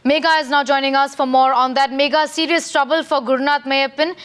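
A woman's voice: sits high, steady tone, brisk, fairly bright tone. A woman with an Indian accent anchoring breaking news from a TV studio.

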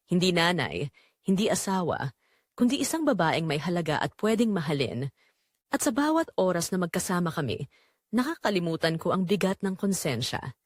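Give the voice of a guilt-ridden married mother , acted by a woman, telling her secret in a dramatic audio story. Her delivery is confessional and emotive, with a mid-range pitch that moves widely. The sound is dry and close. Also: no echo. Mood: tender, longing. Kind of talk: dramatic first-person scripted narration.

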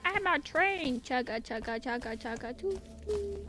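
A woman's voice: high-pitched, expressive, a little slow, over music. A woman giving casual gameplay commentary on a horror game, playfully thinking aloud as she goes. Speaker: gaming video host playing a horror game.

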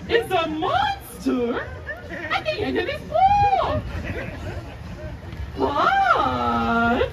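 Echoey outdoor crowd recording, woman acting as anxious male storybook monster, very high, slow drawn-out wails, melodramatic pleading. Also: far from the mic, heavy background noise, exaggerated voice. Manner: wailing, drawn-out emphatic phrasing. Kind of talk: children's storybook character acting.

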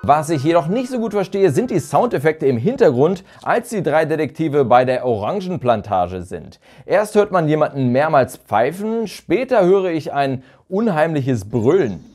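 Animated, higher-pitched man reviewing. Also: normal speed, hardly any pauses, faint music behind. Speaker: reviewer, channel host. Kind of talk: scripted review narration. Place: small furnished room indoors.

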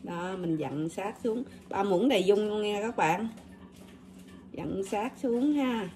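A woman's voice: medium pitch, animated, takes it slowly, noisy background. A woman with a Southern Vietnamese accent talks viewers through a recipe step by step, easy and conversational. Her voice sits in the middle of the range. Clinking dishes and utensils can be heard plainly around her in a home kitchen. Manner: chatty, addresses viewers directly, step-by-step. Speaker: cooking vlogger. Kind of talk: casual kitchen demonstration.

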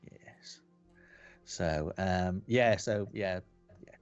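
A man's voice: sits low, drawn-out words, clear, resonant tone, muffled recording, soft background music. A man from West Yorkshire with a Yorkshire accent, hosting a casual game webcast in a chatty, rambling way with lots of fillers. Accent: Yorkshire English. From West Yorkshire, England. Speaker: podcast host. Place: home room lit red.

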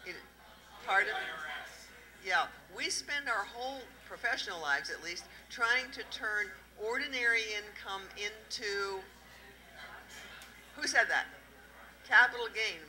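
A middle-aged woman with a Southern accent talks taxes to a live audience, her voice very bright and sharp. She keeps asking the room questions and plays off the answers. She holds a handheld microphone in an indoor event room. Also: mid-range voice, rough recording. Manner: lively, quizzing the audience with questions. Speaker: tax accountant presenting at a panel. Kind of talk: interactive talk to a live audience.

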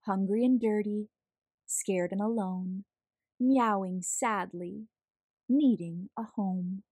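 A woman reading a children's picture book aloud as a storyteller, in a deliberate, expressive, measured way. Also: mid-range voice, dry recording. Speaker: read-aloud storyteller, host.

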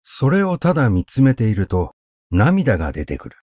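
A man reading literary prose as an audiobook narrator, in a measured, deliberate storytelling delivery. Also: lowish voice, huge pitch swings, a little mellow, dry recording, studio quality. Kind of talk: literary recitation, scripted narration.

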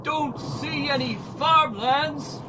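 An actor playing an earnest, naive and dim-witted space hero in a parody pulp radio serial. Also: male voice, very high voice, takes it slowly, noisy background. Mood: earnest wonder. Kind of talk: pulp audio drama parody acting. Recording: dull lo-fi audio under loud music.

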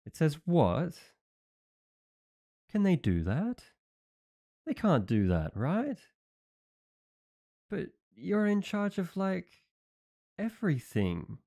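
A man voicing a loyal, dim-witted young knight in an audio roleplay, earnest and naive, over soft music. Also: a little high, heavily acted. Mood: indignant. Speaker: voice actor.

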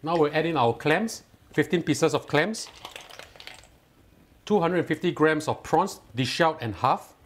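Singaporean male cooking host, somewhat high voice, patient step-by-step instruction, keen on the food. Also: expressive, a little slow, long pauses. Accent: Singaporean English. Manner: instructive, step-by-step, lightly enthusiastic. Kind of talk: cooking tutorial voice-over. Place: home kitchen.

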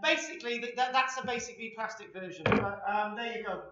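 A man's voice: high-pitched, fast, recorded from a distance, heavy background noise. Rambling man in an echoey room. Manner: rambling, chatty, lots of ums and asides. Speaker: archaeology presenter. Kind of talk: informal talk with slides. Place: small room with a projector screen. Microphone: laptop webcam below him. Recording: echoey room, dull lo-fi laptop audio.

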